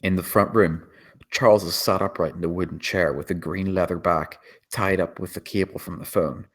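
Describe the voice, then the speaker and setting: A man's voice: sits low, steady tone, normal speed, a bit bright. A man reading scripted screenplay action as the narrator.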